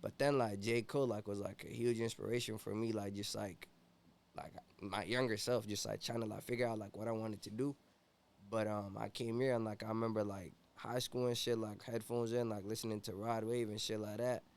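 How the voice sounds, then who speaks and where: A man's voice: lowish voice, steady tone, a little fast, a little mellow. A young man from Ethiopia, a rapper guest in a casual interview, talking laid-back and slangy with constant "you feel me" fillers.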